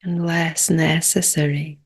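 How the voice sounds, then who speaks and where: Female meditation guide: very slow, even delivery, calm, short phrases, generous pauses. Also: low voice, vocal fry, breathy edge. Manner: calm, unhurried, hushed phrases with long pauses.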